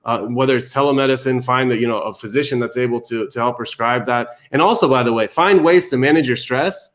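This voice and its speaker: A man from Iran with a mid-pitched voice talks as a family therapist. He gives empathetic advice and reassures the listener, often halting and doubling back on his words.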